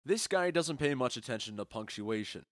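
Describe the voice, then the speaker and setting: A man's voice: mid-range voice, expressive, normal speed, no echo, studio quality, natural voice. A man playing a wry, mocking nobleman in audio drama dialogue. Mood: amused. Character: wry noble man watching a bad play.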